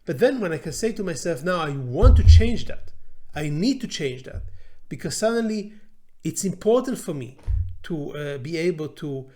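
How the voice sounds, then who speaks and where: A man in his forties with a fairly high voice talks informally as a language teacher, in English learned after his native Hebrew. He is animated and often stops on fillers and restarts his sentences. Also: moderate pace. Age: 40s. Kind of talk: informal talk to camera.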